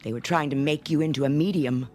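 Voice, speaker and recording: Casting: a woman as a cold, obsessive female schoolteacher, lowish voice that drills and commands, radio play with prominent music underneath. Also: fast, darkish tone, close to an everyday voice. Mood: cold, accusing. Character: stern, domineering female schoolteacher, coldly obsessive. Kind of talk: vintage-style dramatic radio acting.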